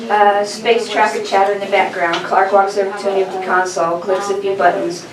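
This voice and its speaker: A woman's voice: medium pitch, little pitch movement, brisk. A woman reading stage directions as the narrator at an informal table read. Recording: distant echoey room mic, chatter behind.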